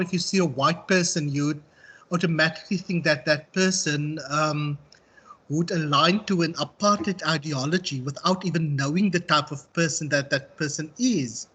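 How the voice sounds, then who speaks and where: Higher-pitched South African man, teaching informally. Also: fairly bright tone, husky. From Western Cape, South Africa. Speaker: university tutor. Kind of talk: informal online lecture and discussion.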